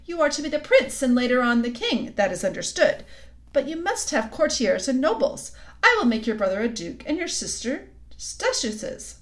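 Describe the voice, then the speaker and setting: Sly queen, coaxing and cold, read by a woman; high, lively voice. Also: moderate pace. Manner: coaxing, silky, suddenly sharp. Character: sweetly scheming cold queen, tall and commanding.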